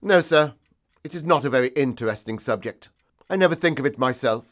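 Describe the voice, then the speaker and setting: An actor playing a deferential, dry-witted manservant in a stage comedy, speaking formally. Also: male voice, mid-range voice, expressive, a little fast, lightly acted. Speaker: actor in an audiobook production.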